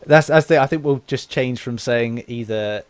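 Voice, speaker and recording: English guy hosting a chatty gaming podcast, mid pitched voice, kinda rambles and trips over explanations. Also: normal speed, no echo. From England. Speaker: host interviewing a guest for a gaming channel. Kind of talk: casual podcast interview.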